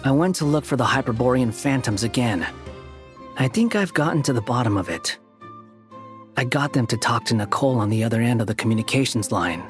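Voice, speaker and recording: A man's voice: mid-range voice, lively intonation, a little fast, faint music behind, close to an everyday voice. A man as a cool, terse young man. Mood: serious. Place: studio.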